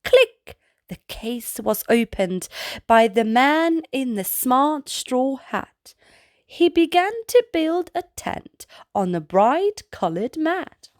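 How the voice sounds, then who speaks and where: A woman host reads a storybook to young children in a high, very lively voice. She takes her time over each phrase and throws out sound words as exclamations. The recording is close and dry. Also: no room sound. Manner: slow, deliberate delivery for young children. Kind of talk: expressive read-aloud picture book narration.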